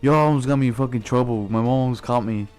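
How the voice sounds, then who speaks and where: A man's voice: medium pitch, fast talker, a little mellow, smooth, natural voice. A man voicing an indignant teenage schoolboy friend in a told story, slangy and full of swearing.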